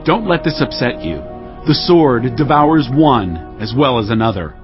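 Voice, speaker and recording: An actor playing a commanding, scheming king of ancient Israel in dramatized scripture, speaking deliberately. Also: mid-range voice, lively intonation, over music, lightly acted. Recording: slightly dull audio.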